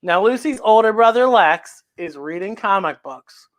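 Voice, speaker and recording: Children's read-aloud host, female, very animated delivery, effusive, repeats key phrases. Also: mid-range voice, normal speed. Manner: exclamatory, gushing, repeats phrases. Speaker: children's story time host.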